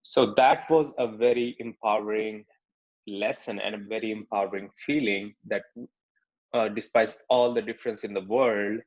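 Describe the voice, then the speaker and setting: Low-voiced Pakistani man, halting talk. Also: fairly even intonation, darkish tone, dull audio. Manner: hesitant, many fillers and restarts. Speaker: speaker giving a talk. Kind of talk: personal story talk with slides.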